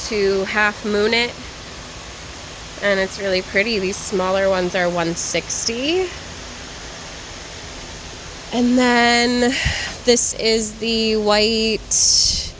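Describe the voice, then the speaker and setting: A woman's voice: mid-range voice, noisy background. Tired, chatty woman plant vlogger. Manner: chatty, rambling, names plants as she browses. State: tired and short on sleep, a little raspy. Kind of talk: casual walk-and-talk vlog. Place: garden center shop floor.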